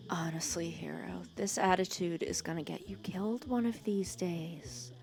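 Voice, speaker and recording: A woman playing a protective, teasing female villain who scolds and cares for her hero nemesis in an ASMR roleplay, sarcastic and often dropping to a hush. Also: lowish voice, normal speed. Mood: exasperated scolding. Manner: scolding yet caring, sarcastic, dropping into hushed breathy asides. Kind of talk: ASMR roleplay audio drama.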